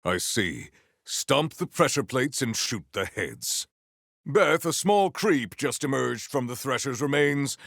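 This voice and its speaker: An actor playing a noble, stern warrior in a video game, speaking with formal, grandiose deadpan deliberation. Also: male voice, mid-range voice, slow, gravelly, no room sound, clean studio sound, exaggerated voice. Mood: matter-of-fact. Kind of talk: theatrical video game character acting.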